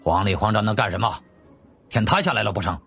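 A man's voice: fairly low pitch, expressive, quick pace, vocal fry, a bit bright, breathy edge, faint music behind, full character voice. A man voicing an impatient, hot-tempered lord in a historical audiobook, brusque and demanding. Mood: irritated.

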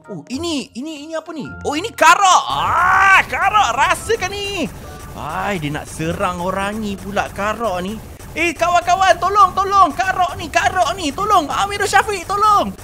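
A man's voice: very high voice, sing-song, moderate pace, sharp tone, soft background music. A young man with a Malaysian accent doing playful, exclamatory roleplay commentary as host of a gaming video, repeating words for emphasis. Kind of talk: excited roleplay game commentary.

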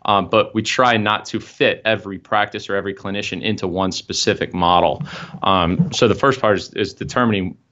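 A man's voice: fairly low pitch, fairly quick, a bit bright. A young man, a marketing consultant, explaining his firm's process in an interview over a video call from an office, with frequent fillers. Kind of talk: interview answers. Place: office room. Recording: dull video-call audio.

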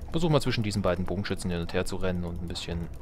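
A man's voice: sits low, animated, very fast, music in the background. A man giving casual, playful let's play commentary, joking at himself and muttering asides as he plays. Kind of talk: casual gameplay commentary, talking to himself and viewers.

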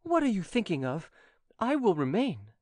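A man's voice: high voice, huge pitch swings, normal speed, a little mellow, no room sound, pitched above the performer's own voice, full character voice. A man voicing a swaggering, insolent young chevalier who talks in a teasing, bantering way in an audiobook. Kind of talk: audiobook reading.

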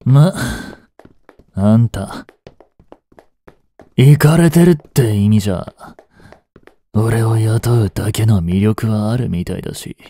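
An actor playing a rough young street punk working as a butler in an audio drama, blunt and teasing, with a grudgingly tender side. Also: male voice, fairly low pitch, takes it slowly, pauses often, mellow. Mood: grumbling. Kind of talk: intimate Japanese audio drama acting addressed to the listener.

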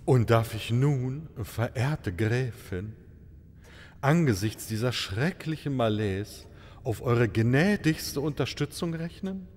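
A male actor plays an effusive oriental prince in an audio play. He speaks slowly and pompously, with florid flattery and sweeping melody, in a long hall-like reverb. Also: medium pitch. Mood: courtly pleading.

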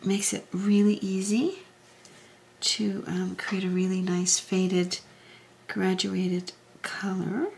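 A woman hosting a craft tutorial, talking through each step in a soft, unhurried way, often dropping to a half whisper, her voice a little hoarse from allergies. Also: medium pitch, little pitch movement. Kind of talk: casual step-by-step demonstration.

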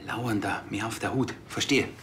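A man's voice: on the low side, moderate pace, a bit bright, a little hiss or hum. An actor playing an eager, naive junior policeman with a Bavarian accent. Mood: agreeable.

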